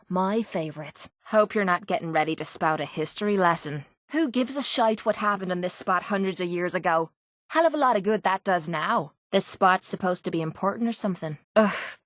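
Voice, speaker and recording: Woman playing scrappy Irish female fighter, video game character, sarcastic, foul-mouthed, medium pitch, dry close recording. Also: dry recording, close to an everyday voice. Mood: sardonic. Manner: brash, sarcastic, earthy slang. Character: tough, foul-mouthed, sardonic female brawler with a violent streak.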